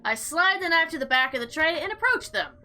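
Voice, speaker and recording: A woman hosting a game playthrough, mixing informal commentary with theatrical, expressive reading aloud. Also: sits high, brisk, soft background music. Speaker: gaming video host.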